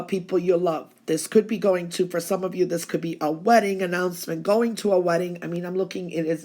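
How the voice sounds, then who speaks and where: Hoarse woman, gravelly rough voice, lowish pitch, chatty fortune reader. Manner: chatty, reassuring, keeps saying okay. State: hoarse from laryngitis. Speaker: psychic reader. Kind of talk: tarot-style weekly reading.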